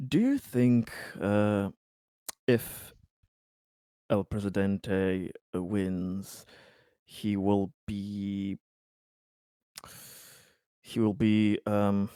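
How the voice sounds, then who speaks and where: A man playing a tabletop game, talking casually and in character in a deliberate, halting way. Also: lowish voice, dark tone, no echo, studio quality. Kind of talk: casual in-game chat and role-play.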